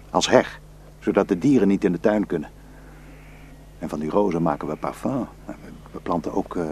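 An actor playing a proud, dreamy Kurdish shepherd husband in a radio drama. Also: male voice, sits low, darkish tone, slight background noise, heavily acted.

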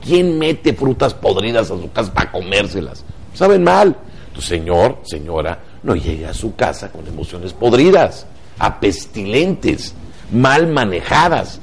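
A man's voice: lowish voice, very animated, unhurried, gravelly, husky. A man with a Mexican accent giving motivational talk show commentary, emphatic and fond of rhetorical questions.

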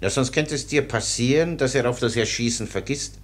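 An actor playing a placid, good-natured soldier prisoner in a radio play, with a mild, folksy, slyly amused manner. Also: male voice, mid-range voice, brisk, lightly acted. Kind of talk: German radio play dialogue.

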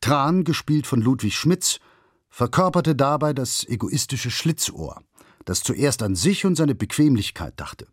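A man reading a scripted documentary narration in a measured, factual and formally articulated way. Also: medium pitch, animated, slightly rough, dry recording, clean studio sound.

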